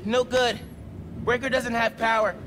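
A man's voice: extremely high pitch, level tone, measured pace, background noise. A man playing an earnest, friendly teenage boy hero in natural game dialogue. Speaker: video game character. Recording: game audio with music behind.

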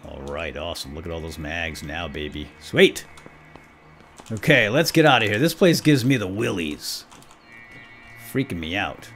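A man with a fairly low voice gives casual let's play commentary. His pitch moves around a lot as he tosses out playful exclamations and asides. Music plays underneath. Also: bright, forceful tone.

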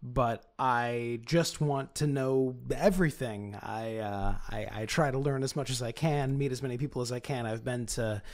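Male game player, middle pitch, dry and hesitant, improvising in character. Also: normal speed, hardly any pauses. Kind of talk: casual in-character roleplay.

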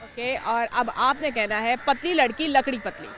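A young woman hosting a TV street quiz in a zoo park, talking into a handheld mic with a lively, coaxing manner. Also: on the high side, a little slow. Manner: lively, laughs often, coaxing. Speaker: TV street-interview host. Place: outdoors in a city zoo park. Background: light music and crowd chatter.

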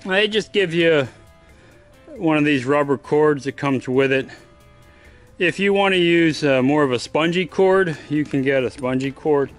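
Slow-talking man explaining a boat job. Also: on the high side, faint music behind. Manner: plain, practical, step-by-step explaining while working. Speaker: DIY vlogger. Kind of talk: casual how-to narration. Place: outdoors on a home driveway by a boat trailer. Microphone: camera mounted low on the ground, voice often off-mic.

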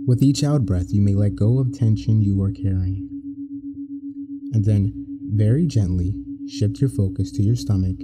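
A man gently and soothingly narrating a scripted guided meditation in measured phrases with frequent pauses. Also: lowish voice. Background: prominent meditation music with singing bowls.

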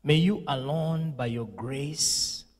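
A man's voice: on the high side, a little mellow, big-room sound. A young man, a pastor, giving a solemn condolence message and prayer into a handheld mic in a studio. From Zambia. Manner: slow, solemn delivery with preacher's emphasis. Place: indoor studio set with purple lighting.

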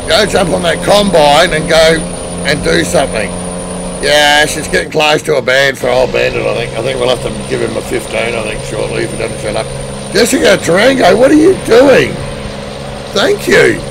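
A middle-aged Australian man with a hoarse, tiring voice, live-streaming a game and excitedly thanking chat viewers over a headset mic. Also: on the high side, normal speed, noisy background. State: hoarse, losing his voice. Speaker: livestreamer. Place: indoor room at a desk.

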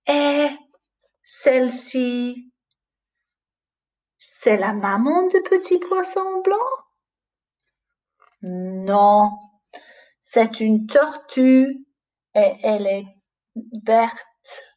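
A woman with a fairly high voice leads a storytime lesson for young children. She goes extremely slowly, placing each phrase with expressive intonation, as a teacher would in a small room. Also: distant mic. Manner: slow, clearly paced, with expressive questioning intonation. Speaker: language teacher reading a picture book. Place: small plain room indoors.

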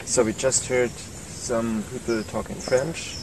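German guy, halting, standing back from the cam outside, noisy. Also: mid-range voice, flat delivery. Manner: halting, searches for words. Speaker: pilgrim interviewee. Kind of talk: casual chat. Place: outdoor hillside trail. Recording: distant camera mic, outdoor noise.

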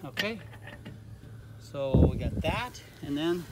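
Slow, easygoing man over loud engine noise. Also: a little high, animated. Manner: plain-spoken, easygoing, folksy. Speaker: vlogger and father. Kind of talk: casual vlog narration with faith talk. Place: outdoors in a field and inside a moving pickup cab. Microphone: camera on the dash and handheld camera. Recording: distant mic with loud engine and wind noise.

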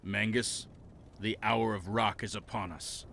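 An actor playing a burly, cocky heavy metal roadie hero in a video game, brash and confident. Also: male voice, on the low side, steady tone, a little slow, pushed and bright, exaggerated voice. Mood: commanding. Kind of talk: video game cutscene acting. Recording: game cutscene with clear background noise.

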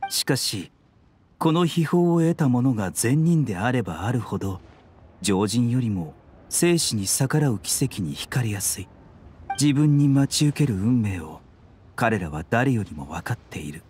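Man acting a composed, lively-toned, idealistic young physician. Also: mid-range voice, a little hiss or hum, lightly acted. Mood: grave, reflective. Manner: polite, measured, philosophical speech. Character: serene, idealistic young male physician, quietly resolute. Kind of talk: scripted game dialogue.

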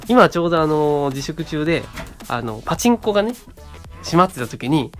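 A man's voice: on the high side, big pitch swings, fairly quick, faint music behind. A man around thirty co-hosting a casual radio show, talking in short phrases with frequent little tag words.

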